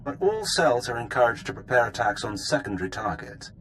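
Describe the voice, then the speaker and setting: An actor playing a fervent rebel mastermind rallying followers in a game cutscene, oratorical and deliberate. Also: male voice, mid-range voice, sing-song, a bit bright, a little hiss or hum. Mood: fervent, commanding. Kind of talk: video game cutscene acting.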